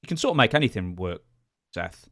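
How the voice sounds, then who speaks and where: A man's voice: low-pitched, very animated, a little fast, a bit bright, no echo, studio quality. A man hosting a podcast, chatting casually about wrestling and steering the conversation. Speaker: podcast host.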